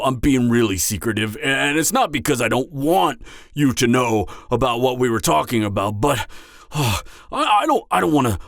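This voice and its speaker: A man's voice: mid-range voice, vocal fry, a bit bright, no echo, studio quality. A man playing an anxious, awkward, slightly drunk part-giant mercenary in an intimate fantasy audio roleplay, hesitant and stammering. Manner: hesitant, stammering, trailing off, sighing.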